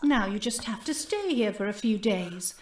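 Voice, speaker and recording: A woman playing a menacing, imperious cult leader in an audio drama, slow and theatrical, mock-gentle and often dropping to a whisper. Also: mid-range voice, animated, lightly acted. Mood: smugly triumphant. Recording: roomy, echoing interior sound.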